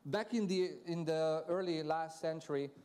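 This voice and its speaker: A man's voice: fairly high pitch, moderate pace, mellow. Man giving a conference talk. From Hungary. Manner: chatty, engages audience with questions. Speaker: conference speaker. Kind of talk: conference presentation. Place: conference hall.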